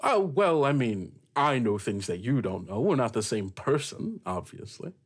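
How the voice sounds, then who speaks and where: A man's voice: mid-range voice, very animated, moderate pace, husky. A man voicing a friendly, flustered talking magic book that rambles familiarly in improvised tabletop roleplay. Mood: explaining.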